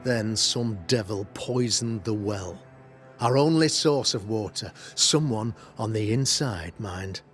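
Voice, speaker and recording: A man's voice: fairly low pitch, slow, faint music behind. An actor playing a self-righteous Puritan witch-hunting governor in a video game, speaking in a formal, preacherly, pompous way. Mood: grave, insistent. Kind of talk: dramatic video game acting.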